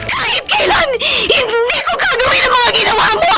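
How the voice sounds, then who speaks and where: A very high, animated girlish voice plays a dutiful young student and little sister. She is earnest and expressive, in the emotive manner of Tagalog radio drama. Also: moderate pace, shouting. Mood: tearful, angry.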